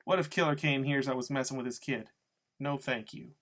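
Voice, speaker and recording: A man's voice: medium pitch, steady tone, fairly quick, fairly bright tone, natural voice. Man doing a menacing, curt tough guy. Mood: dismissive. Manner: curt, scornful, slangy.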